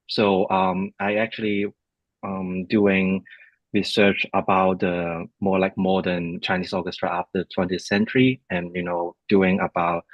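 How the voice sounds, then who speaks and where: A man from Hong Kong with a Hong Kong accent, speaking casually in a halting, polite way as he introduces himself and answers questions. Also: on the low side, dry recording, highs cut off. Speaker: composer and music theory teacher.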